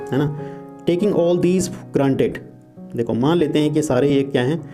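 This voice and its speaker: A man teaching, reading English lines slowly and explaining each point in Hindi, often asking and answering his own questions. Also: mid-range voice, warm tone. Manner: reads English quotes slowly and deliberately.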